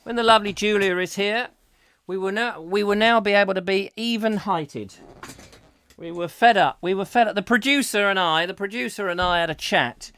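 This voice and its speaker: A man hosts a live comedy chat show. His voice is clear and smooth, slightly high for a man, and recorded dry and close in a small studio. He rambles through jokes and silly digressions. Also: normal speed, bright, forceful tone, dry recording. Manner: jokey, digressive, lots of fillers and silly asides. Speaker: host. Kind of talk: rambling comic solo chat.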